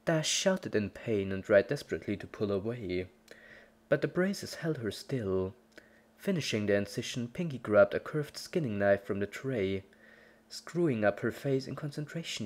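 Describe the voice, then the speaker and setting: A woman with a very deep voice for her sex narrates a horror story. She reads from a script in a dramatic storytelling style. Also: animated, normal speed, darkish tone.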